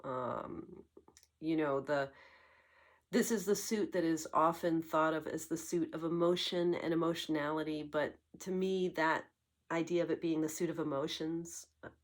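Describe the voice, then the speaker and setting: A woman hosting a tarot channel, getting over a cold and slightly congested, speaking in a reflective, musing way with frequent pauses and fillers. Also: sits low, fairly even intonation. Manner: musing, searching for words, many fillers. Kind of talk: reflective spoken monologue.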